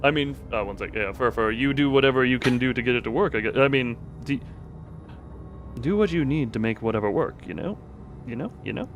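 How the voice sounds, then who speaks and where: A man with a lively, mobile pitch chats casually as a co-host on a stream. He jokes and feels his way through explanations, with faint music behind him. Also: brisk, highs cut off. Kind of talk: casual livestream chat.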